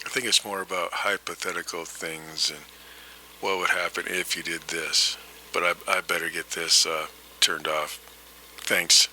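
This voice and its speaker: Deep, sharp-voiced man rambling over noisy audio. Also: flat delivery, a little slow. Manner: rambling, tentative, self-deprecating. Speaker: hobbyist vlogger demonstrating vintage audio gear. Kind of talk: casual unscripted demonstration, thinking aloud. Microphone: old reel-to-reel recorder microphone. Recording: noisy vintage tape-recorder microphone, hiss.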